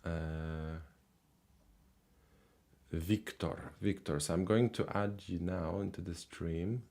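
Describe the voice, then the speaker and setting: A man's voice: low-pitched, slow pace, creaky. A man hosting a live language call-in stream, chatting casually with callers with lots of fillers and repeats. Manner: relaxed, many fillers and repeated words.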